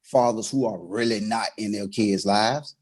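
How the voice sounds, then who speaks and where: A man's voice: lowish voice, unhurried. A man in casual podcast conversation, slangy and emphatic, repeating phrases to drive his points home.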